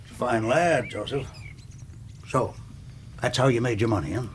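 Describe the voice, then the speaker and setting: An actor playing a middle-aged, willful, sardonic rich uncle with an American Southern accent in a radio drama. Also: male voice, mid-range voice, unhurried, slight background noise, natural voice. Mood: sardonic, brusque. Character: hard-drinking, willful rich ex-Confederate uncle, gruffly sardonic.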